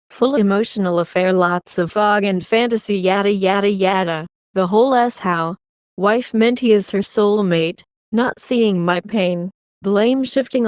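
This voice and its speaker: A woman reading an online relationship story aloud in the first person, at a steady, even pace. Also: medium pitch, no room sound, clean studio sound. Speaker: story reader.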